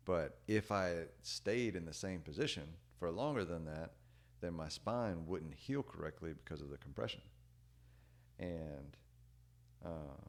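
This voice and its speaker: A young man with a very low, deep voice talks in a loose, unhurried way. His sentences wander with fillers like "like" and "dude". He often fades out softly at the end of a thought. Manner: rambling, many "like" and "dude" fillers; relaxed, trails off quietly at ends of thoughts. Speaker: podcast guest. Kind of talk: casual storytelling chat.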